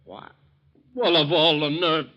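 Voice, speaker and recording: An actor playing a touchy, defensive young husband who blusters, in old-time radio drama style. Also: male voice, a little high, steady tone, unhurried, pushed and bright. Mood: indignant. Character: touchy, lazy, cornered young husband turned bank teller. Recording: dull vintage radio recording.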